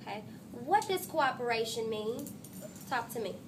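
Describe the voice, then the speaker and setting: A woman teacher reading a storybook to her class and pausing to ask coaxing, instructive questions. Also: a little high, lively intonation, noisy background. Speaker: third-grade teacher. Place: classroom with children answering.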